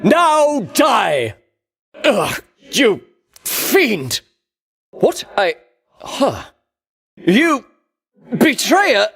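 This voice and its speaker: A man's voice: very high-pitched, wildly swooping pitch, very slow, gravelly, pauses often, fairly bright tone, breathy edge, heavily acted. An actor voicing a pompous, boastful dead Greek hero-king for a video game, with ringing, declamatory delivery and grandiose archaic diction. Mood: rousing battle cry, then flustered outrage. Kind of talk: theatrical video game voice acting.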